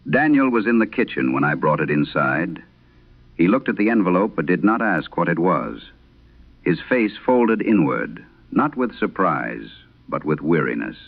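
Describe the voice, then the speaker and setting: A man narrating as a troubled wife reading her haunted letter in a vintage horror radio drama, solemn and measured. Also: deep voice, sing-song, exaggerated voice. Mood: somber, uneasy. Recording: dull lo-fi vintage radio sound.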